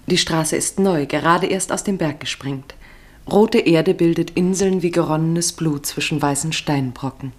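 A female narrator with a low voice for a woman. She reads scripted radio play narration in a measured, serious, literary style. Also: darkish tone.

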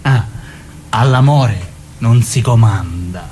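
A man's voice: mid-range voice, slow pace, darkish tone, a little hiss or hum, natural voice. A man playing a cocky, crude young gangster in a radio drama, with a southern Italian accent and slangy, swaggering talk. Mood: cocky, teasing. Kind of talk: naturalistic radio drama dialogue.

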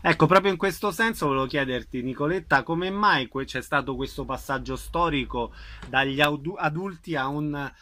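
A man's voice: on the high side, moderate pace, hardly any pauses, sharp tone. A man asking questions as an interviewer in a conversational style.

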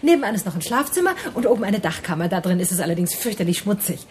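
A woman's voice: mid-range voice, animated, fast talker, darkish tone, slight background noise, natural voice. An actress playing a guarded middle-aged woman in a radio drama, educated and evasive, nervous yet defiant. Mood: defensive, ironic.

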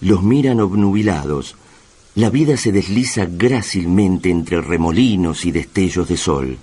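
A man's voice: deep voice, lively intonation, a little slow, warm tone, husky. A man reading scripted narration for a radio drama.

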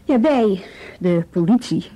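An actress playing a calm, practical policewoman in a radio drama, talking in a measured, reassuring way. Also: mid-range voice, low-quality recording. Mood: reassuring, explaining. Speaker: actor in a radio play. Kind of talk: naturalistic radio drama dialogue.

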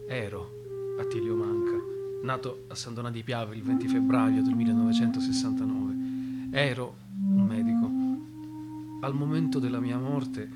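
An actor playing a murdered young male doctor who tells his own story in a measured, deliberate radio drama monologue. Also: medium pitch, natural voice. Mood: sombre, wistful.

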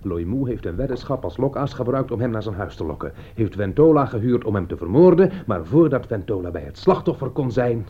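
A man's voice: medium pitch, big pitch swings, a little fast, few pauses, warm tone, breathy edge. A man acting in a Dutch radio play as a nervous, baffled and indignant friend, hesitant and stammering. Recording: dull lo-fi radio play recording.

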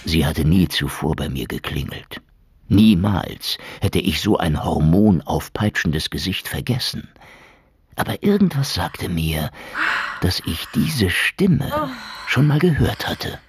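An actor playing a cynical, tough private detective, sardonic and hard-boiled, in a noir radio drama. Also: male voice, sits low, very animated, slow, full character voice. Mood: brooding. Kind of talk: noir radio drama with first-person narration. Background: music.

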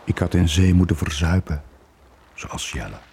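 An actor playing a guilt-ridden middle-aged sailor in a radio play, with brooding, sombre narration. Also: male voice, sits low, animated, moderate pace, a little mellow, husky, exaggerated voice. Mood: resigned. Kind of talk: dramatic radio play monologue.

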